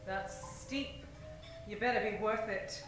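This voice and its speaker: A woman's voice: mid-range voice, slow, lightly acted. A woman acting a sly, sultry femme fatale with a coolly teasing manner in a noir radio parody. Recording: echoey, distant live stage recording. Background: faint music.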